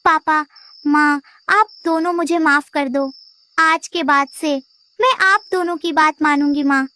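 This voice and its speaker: A woman's voice: high-pitched, fairly even intonation, a little slow, bright, forceful tone. A woman voicing a tearful, clingy little girl bird in a children's cartoon, pleading in a childlike way. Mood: pleading, remorseful. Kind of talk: Hindi children's cartoon voice acting.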